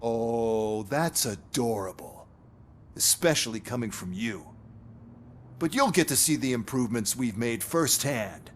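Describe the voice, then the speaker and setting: A man's voice: mid-range voice, expressive, a little slow, slight background noise, natural voice. An actor playing a smug, callous corporate executive in a video game, sneering and boastful as he mocks others. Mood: mocking.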